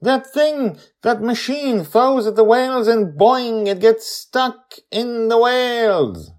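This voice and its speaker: A man voicing a bold, eager nine-year-old girl in a story, shouting out excited questions and plans. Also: very high-pitched, slow, voice pushed up.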